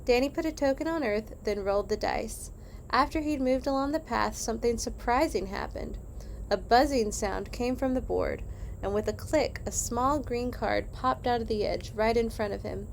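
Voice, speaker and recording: A woman reading a children's story aloud in an animated, expressive way, as in a read-along for kids. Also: a little high, normal speed, gravelly, a little hiss or hum, low-quality recording. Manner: animated, acts out dialogue.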